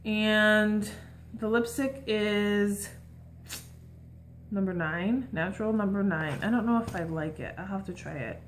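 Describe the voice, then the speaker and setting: A woman's voice: medium pitch, unhurried, slight background noise. A young adult woman chatting casually and informally to the camera as a shopping vlogger. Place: small room at home, indoors.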